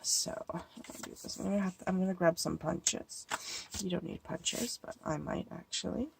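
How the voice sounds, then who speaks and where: A woman's voice: lowish voice, normal speed, vocal fry, slight background noise. Stuffy woman musing over her craft table. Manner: thinking aloud, musing and hesitant. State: stuffy from a cold. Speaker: card-making crafter. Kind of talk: casual crafting tutorial talk.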